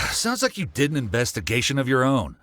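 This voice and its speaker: Sighing irritable ex-merchant, lively pitch, faint hiss behind. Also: male voice, a little fast. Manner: short-tempered, weary, sighs. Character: irritable former merchant man, suspicious and sighing. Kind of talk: video game voice acting.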